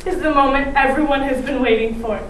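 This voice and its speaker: A woman's voice: high-pitched, steady tone, fairly quick, fairly bright tone. A young woman performing scripted dramatic storytelling in readers theatre. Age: young adult. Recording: distant, very echoey room, faint noise.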